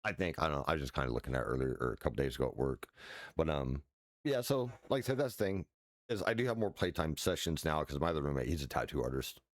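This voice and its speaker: Very deep-voiced man rambling casually. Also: animated, fast talker, no room sound, clean studio sound. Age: 40s. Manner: loose, rambling, many fillers and restarts, swears casually. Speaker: streamer. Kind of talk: casual rambling chat while sim racing. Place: his house.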